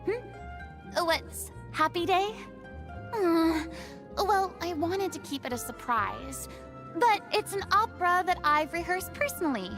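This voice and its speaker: With music playing clearly behind, a playful, self-important young goddess from a video game. Her voice is very high and theatrical. She speaks coyly and takes her time. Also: lively intonation, slow pace, exaggerated voice. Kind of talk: English video game voice acting. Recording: game audio under loud music.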